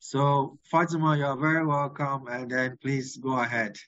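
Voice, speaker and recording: A man hosting a webinar and introducing a guest, halting with many filler pauses. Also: mid-range voice, flat delivery, a bit bright, dry recording. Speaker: host. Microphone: video call. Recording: dry, dull lo-fi call audio.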